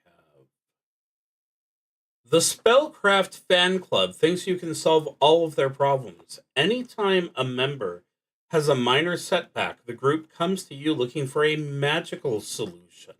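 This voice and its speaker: A man streaming a solo tabletop roleplaying game, thinking aloud in a halting, deliberate way with pauses mid-thought. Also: a little high, expressive, a bit bright, rough recording.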